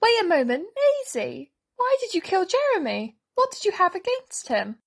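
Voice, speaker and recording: A woman's voice: very high voice, huge pitch swings, moderate pace, gravelly, full character voice. A woman playing a panicky, melodramatic villager in an audio drama. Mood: alarmed.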